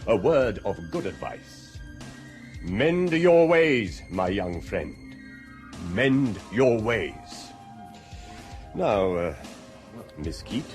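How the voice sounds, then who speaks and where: An actor playing a pompous, condescending older official in a radio drama, with a sonorous voice. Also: male voice, fairly low pitch, big pitch swings, drawn-out words, pauses often, soft background music, full character voice. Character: pompous older male Arch-Community-Songster.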